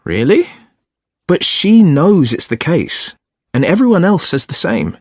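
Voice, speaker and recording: A man's voice: fairly high pitch, huge pitch swings, measured pace, no echo, clean studio sound, exaggerated voice. An actor playing an agreeable, realistic young male student in a scripted, conversational listening-test dialogue. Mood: questioning, matter-of-fact. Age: young adult. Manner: conversational, questioning.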